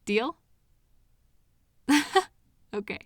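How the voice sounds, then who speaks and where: A woman's voice: dry recording, clean studio sound. A woman playing a loving, patient girlfriend in an intimate comfort roleplay, speaking to her anxious partner in a tender, reassuring manner. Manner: tender, reassuring, unhurried pauses with sighs. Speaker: audio roleplay performer.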